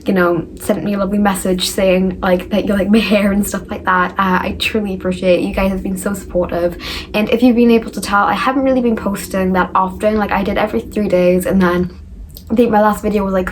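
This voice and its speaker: A woman's voice: medium pitch, slight background noise, low-quality recording. A young woman from northeast England, chatting casually as she answers viewer questions in a rambling vlog. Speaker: recovery vlogger.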